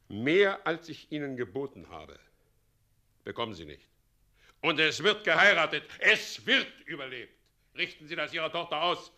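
An actor playing a scheming, pompous middle-aged president in a German radio play, swinging theatrically from commands to wheedling. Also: male voice, on the high side, bright, forceful tone, exaggerated voice. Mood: imperious, angry. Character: scheming, pompous tyrant president, doting mockingly on his son.

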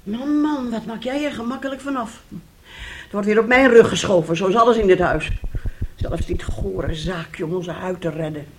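A woman's voice: mid-range voice, normal speed, close to an everyday voice. An actress in a radio drama playing a bitter, sarcastic middle-aged wife and mother who talks reproachfully and theatrically. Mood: anxious, nagging. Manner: reproachful, sarcastic, dramatic, swinging between hushed and loud. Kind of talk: radio drama acting in Dutch.

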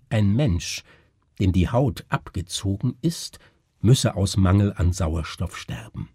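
A man voicing a haunted, brooding doctor in a gothic story, husky, halting and intense. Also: on the low side, warm tone, no echo, clean studio sound, heavily acted. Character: haunted, brooding male doctor recounting horrors.

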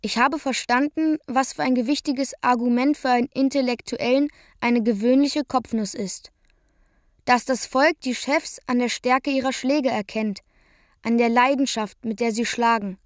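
A woman's voice: a little high, steady tone, normal speed, no echo, studio quality, natural voice. A woman reciting scripted first-person literary prose as a narrator in a radio play.

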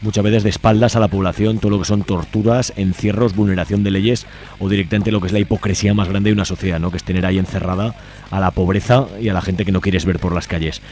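Male community radio interviewer, Aragonese accent from Spain, rapid colloquial delivery, slightly low pitch. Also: fairly even intonation, vocal fry, a little mellow. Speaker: radio show host interviewing a guest.

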